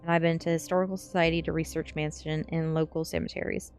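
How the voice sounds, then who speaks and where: A proud amateur actor in a storybook , voiced by a woman reader. He is chatty and relates his tale with self-importance, in a low register over faint music. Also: level tone, fast, darkish tone. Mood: proud, relating.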